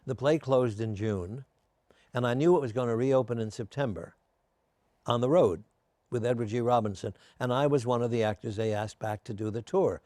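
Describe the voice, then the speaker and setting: An older man, a guest in a casual interview, telling genial, self-deprecating stories while his voice is going hoarse. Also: mid-range voice, normal speed, mellow, dry recording.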